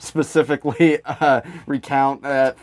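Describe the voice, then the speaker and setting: A man's voice: mid-range voice, fairly even intonation, measured pace, slight background noise. An American man co-hosting a comedy podcast in casual banter, swearing freely and often laughing through his own sentences. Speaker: podcast co-host.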